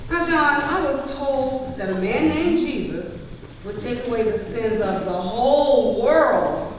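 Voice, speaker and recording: A woman playing a polite, curious visitor in a live church drama, asking questions in an eager, emphatic, deliberate way. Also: on the high side, lively intonation, slight background noise. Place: large church, live performance. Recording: live church hall, very echoey, distant, music behind.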